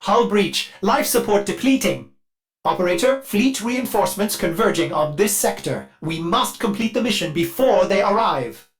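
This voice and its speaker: A man voicing an anxious, servile male ship computer in a video game, formal and halting, with synthetic processing. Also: high-pitched, lively intonation. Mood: urgent, alarmed. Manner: formal and obsequious, with halting stammers. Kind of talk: scripted video game character acting.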